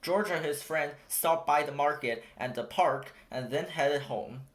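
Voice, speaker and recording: A storybook reader giving an expressive read-aloud narration for children. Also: medium pitch, a little slow.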